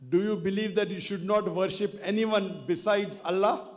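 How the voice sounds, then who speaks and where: A man giving a public religious lecture into a stage microphone, speaking politely and deliberately as he leads an audience through call-and-response. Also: high-pitched, steady tone. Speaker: Islamic lecturer. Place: lecture hall.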